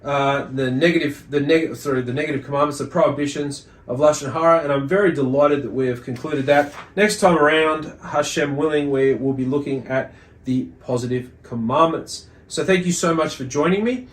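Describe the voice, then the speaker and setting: A man giving an explanatory religious lesson as a teacher, speaking in a measured way with deliberate emphasis and repetition. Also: on the high side. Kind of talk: explanatory religious lesson, reading and commenting on a text. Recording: echoey, roomy sound.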